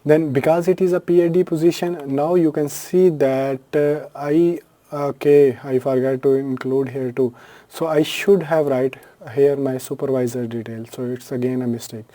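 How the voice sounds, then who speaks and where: Man with a very dark, mellow voice. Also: medium pitch, fairly even intonation, moderate pace. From Pakistan. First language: Urdu. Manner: unscripted, frequent fillers and self-corrections. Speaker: tutorial host. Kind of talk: screen-share tutorial walkthrough.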